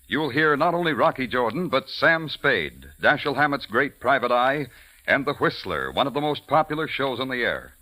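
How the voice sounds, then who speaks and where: A man's voice: medium pitch, pushed and bright, poor audio. A man with an American accent announcing in polished, emphatic 1940s radio style.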